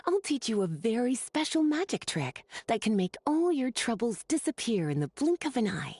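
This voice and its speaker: Bright, husky, coaxing mentor. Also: female voice, fairly high pitch, very animated, normal speed, no room sound. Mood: encouraging. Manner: coaxing, instructive. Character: patient, teacherly, playful female mentor. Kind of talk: video game character dialogue.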